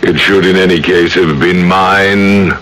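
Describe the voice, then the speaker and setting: Forceful, pompous old judge. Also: male voice, low voice, fairly even intonation. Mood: menacing. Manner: pompous, deliberate, formal. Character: menacing, greedy, pompous older male judge. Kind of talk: old-time radio drama acting. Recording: noisy old radio broadcast.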